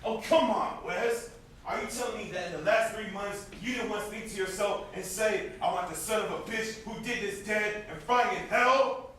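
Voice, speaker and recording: A man's voice: high voice, moderate pace, a bit bright. A young man acting a vengeful, grieving, hot-headed brother in a stage play, insistent and confrontational. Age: young adult. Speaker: student stage actor. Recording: distant, very echoey, noisy stage recording.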